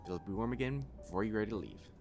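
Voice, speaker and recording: Man playing a lower-voiced, calm catboy waiter. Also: fast. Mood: welcoming. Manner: gentle, composed, reassuring. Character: calm, thoughtful, flirty catboy cafe waiter. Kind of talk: ASMR roleplay, addressing the listener. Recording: music behind the voice. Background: clear background music.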